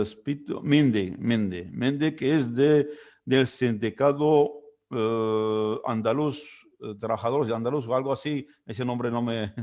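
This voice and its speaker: A man runs an online panel discussion in a voice of ordinary pitch. He speaks haltingly, filling gaps with hesitation sounds and restarting sentences while he introduces the guests. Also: a little mellow. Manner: hesitant, many fillers and restarts. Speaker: moderator.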